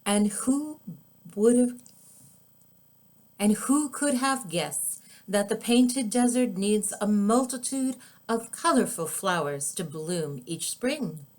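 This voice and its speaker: A woman reading her own children's book aloud as storybook narration, with expressive, deliberate, drawn-out phrasing. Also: medium pitch.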